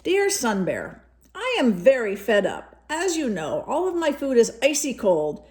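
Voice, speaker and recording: A woman playing a storybook polar bear who sounds wishful and childlike. The pitch sits high and swoops freely, with words stretched out expressively for young listeners. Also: huge pitch swings, unhurried. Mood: plaintive, pleading.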